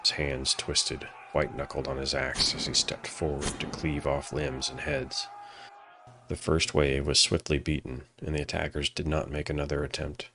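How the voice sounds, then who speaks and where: A man narrating a dramatic fantasy story from a script in a solemn, measured way. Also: a bass voice, level tone, gravelly, sharp tone.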